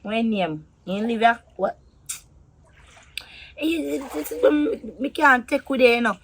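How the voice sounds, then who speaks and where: A woman's voice: a little high, expressive, a little slow, gravelly, a bit bright, slightly rough, heavily acted. A woman with a Jamaican accent playing a feisty, scornful lover in a drama, scolding and repeating her words for emphasis. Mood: suspicious, probing.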